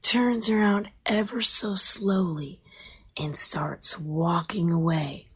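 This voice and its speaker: A woman telling a playful, dramatic story in a breathy whisper. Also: on the low side, fairly even intonation, slow, a bit bright.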